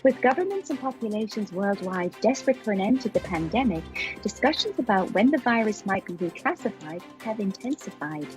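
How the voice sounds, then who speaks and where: A woman presenting the news on a live stream, reading in a measured, even way. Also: mid-range voice, soft background music, poor audio.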